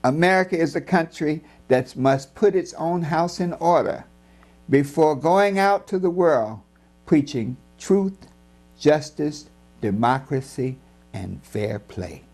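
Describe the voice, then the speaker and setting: A man reading his own book as scripted narration, in a grave, deliberate and emphatic oratorical manner. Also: on the high side, lively intonation, a little mellow.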